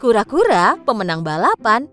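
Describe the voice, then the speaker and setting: A woman narrating a children's cartoon story in an expressive, sing-song storytelling manner. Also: a little high, normal speed. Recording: faint music behind. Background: faint background music.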